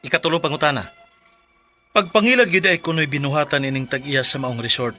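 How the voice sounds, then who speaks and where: Radio drama: distressed letter sender, Cebuano accent, forceful higher-pitched voice, earnest and emphatic. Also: male voice, a little fast, soft background music. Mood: troubled, questioning. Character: distressed male letter sender asking for legal advice.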